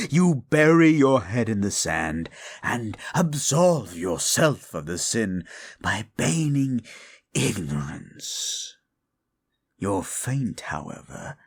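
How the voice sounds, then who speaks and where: Man playing a demon of desire, low gravelly voice, slow drawl, contemptuous, theatrical. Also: full character voice. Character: scornful proud male demon of desire. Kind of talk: audio roleplay drama.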